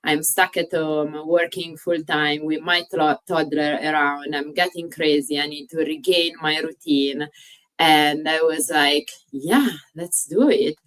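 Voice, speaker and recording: A woman in her thirties from Italy with an Italian accent, a fitness coach talking as an interview guest, pausing often on "uh" and using slightly non-native phrasing. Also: low-pitched, fairly even intonation, a bit bright. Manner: frequent "uh" pauses, slightly non-native grammar. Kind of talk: casual interview chat.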